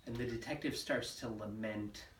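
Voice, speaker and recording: A man's voice: medium pitch, moderate pace, fairly bright tone. A man working as a comedy writer, casually talking through story ideas in a writing session. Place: indoor room at a writing session. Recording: roomy, distant mic, background noise.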